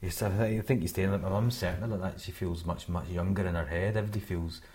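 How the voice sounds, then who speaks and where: A man in his forties chats in an interview with a deep voice. There is light noise and clicking in the background. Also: fairly even intonation, fast talker, darkish tone. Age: 40s. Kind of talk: casual interview talk.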